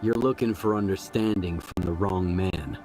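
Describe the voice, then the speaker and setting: Man acting a low-voiced, hard-bitten stalker. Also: normal speed, music in the background, natural voice, rough recording. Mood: unimpressed. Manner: terse, unimpressed. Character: curt, hard-bitten male mercenary stalker. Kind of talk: video game dialogue acting.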